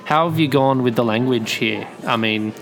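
A man hosts a relaxed interview, affirming his guest and musing thoughtfully. He talks at an ordinary middle pitch. Music plays clearly underneath. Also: normal speed, low-quality recording. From Australia. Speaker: podcast host, interviewer. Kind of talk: casual interview.

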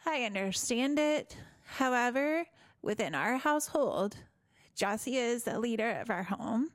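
A woman with a loud voice hosting a casual faith podcast; she is expressive, laughs heartily and acts out quoted voices. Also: fairly high pitch, a little slow, no echo, clean studio sound. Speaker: podcast host.

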